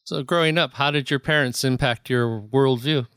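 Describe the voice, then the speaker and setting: A man's voice: mid-range voice, normal speed, a bit bright, smooth, dry recording, clean studio sound. A man hosting an interview show, asking short, friendly questions in a casual way. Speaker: podcast host.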